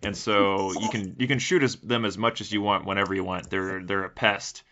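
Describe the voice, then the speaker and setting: A man's voice: low voice, steady tone, moderate pace, sharp tone. A man raised in Texas co-hosting a casual podcast, telling stories bluntly and swearing freely. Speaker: podcast co-host. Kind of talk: casual podcast chat, storytelling. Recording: lo-fi muffled audio.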